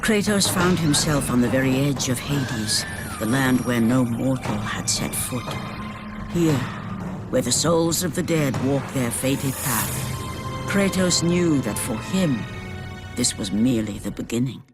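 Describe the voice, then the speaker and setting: A woman narrating an epic video game story in a grave, solemn storytelling manner, with loud music behind her. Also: a bass voice, expressive, unhurried.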